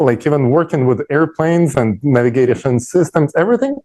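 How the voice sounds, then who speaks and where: Man explaining earnestly, mellow voice. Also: on the high side, sing-song, quick pace. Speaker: panel speaker on an online forum show.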